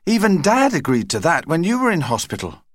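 Man voicing keen young girl, raised pitch. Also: wildly swooping pitch, fairly quick, no room sound, clean studio sound. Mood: keen, insistent. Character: keen, sensible, gently insistent young girl.

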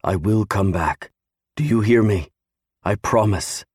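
A man's voice: lowish voice, measured pace, a little mellow, husky, dry recording, clean studio sound. A man voicing a steadfast young navy serviceman, earnestly reassuring his wife in an audiobook. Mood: tender resolve. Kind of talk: audiobook character acting.

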